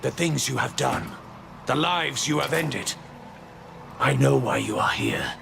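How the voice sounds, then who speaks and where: An actor playing a proud and weary angel ruler, speaking in a grand, measured and prophetic way in a video game cutscene. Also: male voice, medium pitch, wildly swooping pitch, creaky, a bit bright, faint music behind, full character voice. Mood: grave.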